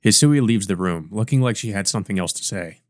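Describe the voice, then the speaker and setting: A man's voice: fairly low pitch, lively intonation, fast, no echo. A man hosting a let's play, reading a visual novel aloud with casual asides. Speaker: let's play host and reader.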